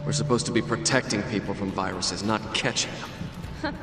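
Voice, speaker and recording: A man's voice: lowish voice, steady tone, a little fast, over loud music, lightly acted. An actor playing a brave, wry American boss and survivor in a video game drama, with urgency in his delivery. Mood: wry, tense.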